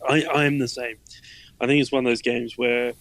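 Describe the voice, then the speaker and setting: A man's voice: mid-range voice, fairly quick, dry recording. An Australian man co-hosting a gaming podcast, a father with young kids, chatting and joking casually. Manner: relaxed, joking, chuckles often. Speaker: podcast co-host. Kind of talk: casual chat.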